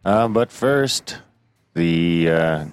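A man's voice: low-pitched, lively intonation, very slow, smooth, no echo. A man co-hosting a podcast, chatting in an easygoing, bantering way. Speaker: podcast co-host. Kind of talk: casual podcast chat.

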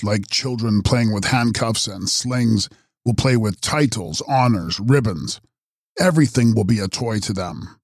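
A man voicing a mysterious, ageless male count who makes grave, measured prophecies in an audiobook. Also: on the low side, no room sound, studio quality, close to an everyday voice. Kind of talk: audiobook character reading.

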